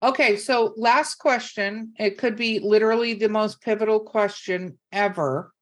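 A woman's voice: medium pitch, fairly even intonation, unhurried. A woman hosting a casual podcast, chatting in an upbeat, informal way. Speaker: podcast host.

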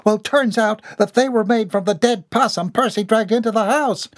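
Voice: mid-range voice, moderate pace, dry recording, studio quality, pitched above the performer's own voice, close to an everyday voice. A reader voicing a proud, fierce cook woman who speaks in a huffy, insistent way.

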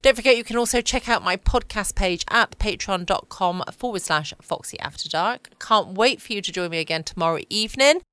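Smooth southern English woman hosting. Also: medium pitch, brisk, few pauses, bright, forceful tone, dry recording. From United Kingdom. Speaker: podcast host. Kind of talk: bedtime podcast sign-off. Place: home, dry close recording.

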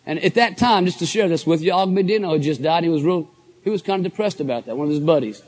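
A middle-aged man with a hoarse voice, giving an enthusiastic, folksy motivational talk to a live audience. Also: on the high side, quick pace, darkish tone. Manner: folksy, enthusiastic, addresses crowd as guys and folks. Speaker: motivational speaker at a business convention.

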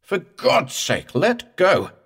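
A man voicing a frantic, high-strained terrified man. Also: big pitch swings, slow pace, fairly bright tone, slightly rough, exaggerated voice. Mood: desperate. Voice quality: raw, thick, breaking upward. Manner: frantic, pleading.

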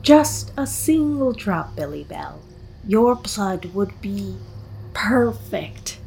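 A woman playing an intent, goading teenage girl who leads a séance in an audio horror drama, speaking deliberately. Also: mid-range voice, expressive, creaky, a little mellow, slight background noise, low-quality recording.

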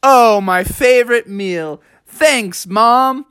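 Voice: medium pitch, lively intonation, normal speed, fairly bright tone, dry recording, clean studio sound, pitched above the performer's own voice. A storyteller voicing a cocky, brave young male skateboarding frog with boastful, dramatic exclamations. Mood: pleased.

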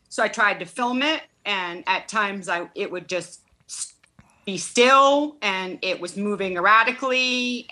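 A woman's voice: medium pitch, pushed and bright. A woman with a Boston accent, calling in to a talk show and chatting casually, laughing between sentences. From Boston area, Massachusetts. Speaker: caller. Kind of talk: casual phone-in chat.

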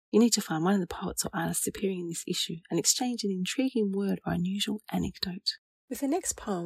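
A woman's voice: medium pitch, a little mellow, breathy edge, dry recording. A woman hosting a poetry programme, reading scripted narration. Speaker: podcast host.

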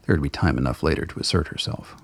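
A man narrating a radio play in a scripted, dramatic storytelling style with a gravelly, husky voice. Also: very deep voice, quick pace.

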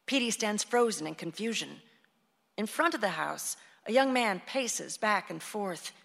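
An actress as the composed narrator of a radio play, reading scripted stage directions. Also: female voice, medium pitch, lively intonation, a little slow, creaky, fairly bright tone, full character voice. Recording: long hall reverb.

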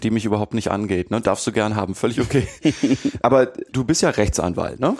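Chatty low-voiced male podcast host. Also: brisk, dry recording. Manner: chatty, relaxed, many fillers. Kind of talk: casual podcast conversation.

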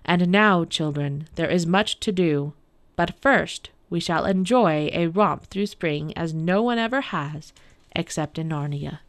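A woman's voice: lowish voice, a little slow. A woman voicing a kindly, noble male lion in a storybook reading, solemn and reassuring. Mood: assured.

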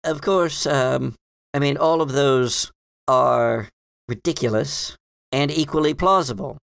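A man's voice: medium pitch, takes it slowly, creaky, fairly bright tone, dry recording, clean studio sound. A man playing a hesitant, bookish librarian in a tabletop game, rambling and hedging in an earnest, mildly dismissive way. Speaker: game master. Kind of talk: improvised in-character tabletop roleplay.

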